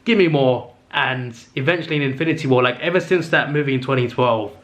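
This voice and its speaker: A young man hosting his own channel, chatting enthusiastically through a casual ranking, full of fillers and quick self-corrections, his voice a little strained as he says he is losing it. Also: mid-range voice, a bit bright. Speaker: movie review channel host. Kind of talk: casual ranking monologue to camera.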